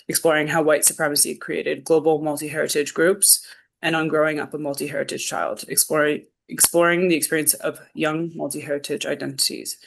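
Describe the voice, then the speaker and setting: A woman hosting an online seminar, introducing the speaker in a warm, slightly hesitant way with fillers. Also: low-pitched, gravelly. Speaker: seminar host.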